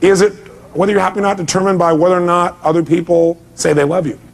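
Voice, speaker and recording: A man's voice: high voice, flat delivery, fast talker, creaky. A man speaking as a motivational seminar speaker, emphatic, putting rhetorical questions to his audience and often answering them himself.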